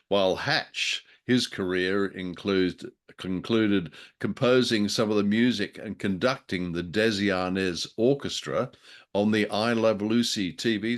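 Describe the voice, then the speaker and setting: A man co-hosting a casual review chat about old radio drama, with a wry, jokey manner and a taste for dramatic turns of phrase. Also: low voice. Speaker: podcast co-host.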